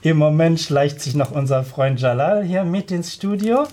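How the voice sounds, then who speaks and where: A man's voice: on the high side, lively intonation, normal speed, warm tone, slight background noise. A man hosting a radio studio interview, talking hesitantly with many fillers. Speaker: radio host.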